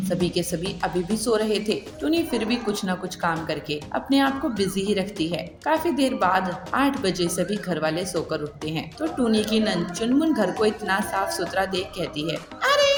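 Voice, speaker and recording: A woman reading scripted narration for a cartoon story. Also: mid-range voice. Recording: echoey reverb with clear music behind.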